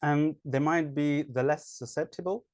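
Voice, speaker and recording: A man's voice: a little high, fairly even intonation, normal speed, darkish tone, dry recording, studio quality. A man with a French accent, an online English teacher, answering interview questions in a hesitant way with many fillers. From France.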